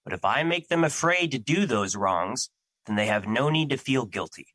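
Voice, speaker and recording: Man acting as troubled personified emotion, forceful bright voice, dry sound, earnest formal delivery, defensive, audio drama. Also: mid-range voice, lively intonation, moderate pace, no room sound. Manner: earnest, formal, theatrical phrasing. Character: Fear, troubled, defensive, duty-bound male emotion personified.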